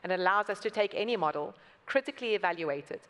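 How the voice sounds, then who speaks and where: A woman's voice: mid-range voice, lively intonation, quick pace, a bit bright. A woman speaking on a conference panel, earnestly explaining and repeating words for emphasis. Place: conference hall. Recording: long reverb from a large hall.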